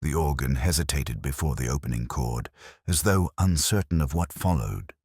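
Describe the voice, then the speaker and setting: A man narrating a scripted first-person detective story in a measured, literary manner. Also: very deep voice, darkish tone, husky, no room sound, clean studio sound.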